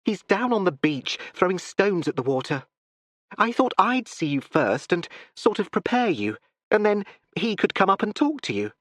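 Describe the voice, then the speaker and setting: A man's voice: high voice, very animated, normal speed, dry recording, pitched above the performer's own voice. A man voicing a breathless, pleading young woman. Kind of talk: audiobook character reading.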